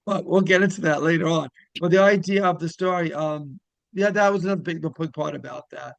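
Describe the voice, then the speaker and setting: A man hosts a casual interview in a gravelly, creaky voice pitched a little high. He asks loose, rambling questions in a conversational way, recorded close and dry. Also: fairly quick, dry recording. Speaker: interviewer, show host.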